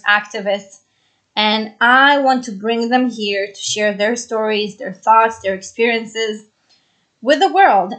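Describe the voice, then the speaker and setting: A young woman with a slightly high voice, originally from Brazil and raised in Israel, hosts a solo podcast. She talks in an earnest, chatty way and often repeats a phrase to press a point. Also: a bit bright. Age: 20s. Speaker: podcast host.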